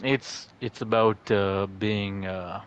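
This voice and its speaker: A man chatting casually on a livestream, halting and stumbling over words, swearing often. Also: lowish voice, fairly even intonation, clear, resonant tone, muffled recording. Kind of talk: casual chat.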